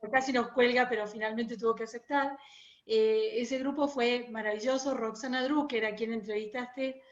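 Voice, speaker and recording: A woman's voice: fairly high pitch, steady tone, moderate pace. A woman from Uruguay with a Rioplatense accent chats in an explanatory way as an interview guest, over a muffled, roomy internet call. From Montevideo, Uruguay. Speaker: guest, oral storyteller. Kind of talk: casual interview chat.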